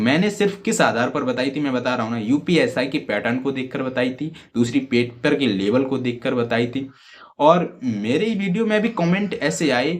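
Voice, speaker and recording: Guy, mid pitch, talking straight to students, emphatic, keeps checking they get it. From Uttar Pradesh, India. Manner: emphatic, repetitive, rhetorical questions, frequent check-in tags. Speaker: exam-prep YouTube educator. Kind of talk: informal advice talk to students.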